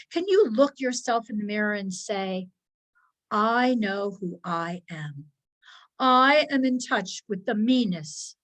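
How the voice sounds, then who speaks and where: A woman voicing an intense, self-important pet cat from a storybook, speaking in an emphatic, deliberate, pompous way. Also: medium pitch, fairly bright tone.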